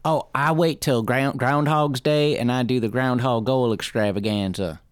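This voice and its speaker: A man's voice: medium pitch, steady tone, normal speed, clean tone, no room sound. A man who is a guest on a casual radio talk show in a studio, speaking in a joking, loose and meandering way.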